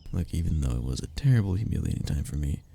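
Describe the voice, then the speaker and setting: A man playing a young, tender, self-deprecating lover in an intimate romantic ASMR roleplay, teasing softly in a low, husky, gravelly voice. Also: sing-song, fast, a little hiss or hum, full character voice. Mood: embarrassed, defensive. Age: young adult.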